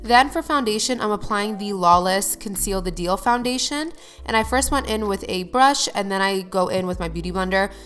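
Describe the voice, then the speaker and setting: Young woman beauty vlogger, mid-pitch, slightly nasal from a cold, chatty and upbeat, soft music behind. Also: moderate pace, clear, resonant tone. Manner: chatty, upbeat, addresses viewers directly. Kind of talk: get-ready-with-me voice-over.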